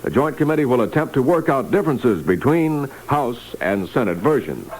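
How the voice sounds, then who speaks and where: A man's voice: mid-range voice, sing-song, moderate pace, a little mellow, slight background noise, rough recording. A man reading scripted news as an anchor on a vintage 1960s radio broadcast.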